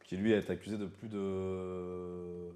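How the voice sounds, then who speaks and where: A man's voice: low voice, level tone, takes it slowly, mellow. A French man hosting a casual, chatty interview, informal and prompting, often jumping in with half-finished sentences. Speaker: interviewer, host.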